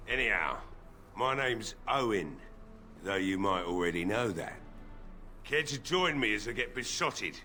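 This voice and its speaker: A man's voice: lowish voice, lively intonation, unhurried, sharp tone, soft background music. A man voicing a grieving, drunk middle-aged village blacksmith who sounds weary and bitter. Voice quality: bitter, weary, slurring drink-talk. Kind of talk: video game voice acting.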